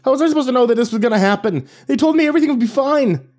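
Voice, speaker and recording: A man's voice: high-pitched, animated, a little fast, a little mellow, pitched above the performer's own voice. A man voicing a frightened, defensive cameraman in an audio horror story, squealing and stammering through whiny protests.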